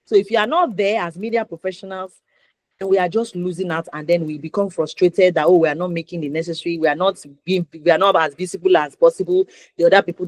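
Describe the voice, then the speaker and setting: A woman's voice: fairly low pitch, no echo. A woman with a Nigerian accent hosting a conversational interview show, encouraging and emphatic, repeating points for stress. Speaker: talk show host.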